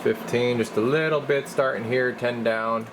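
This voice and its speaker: A man's voice: medium pitch, steady tone, normal speed, background noise. A man giving a casual hands-on demonstration as a radio technician, muttering informally as he works in a room. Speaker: radio repair technician demonstrating his work. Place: indoor radio workbench.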